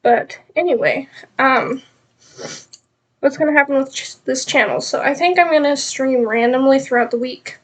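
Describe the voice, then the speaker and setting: A woman's voice: high voice, normal speed, rough recording. A woman with a stuffed-up nose chatting casually and rambling on a live knitting stream, with many ums.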